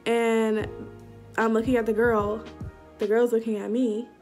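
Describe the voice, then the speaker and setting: A young woman telling a story to camera in a casual vlog, animated and slangy, acting out what people said, recorded in a small room on a camera at arm's length. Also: on the high side, a little slow, faint music behind. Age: young adult. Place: small indoor room before a curtain. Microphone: webcam or phone at arm's length.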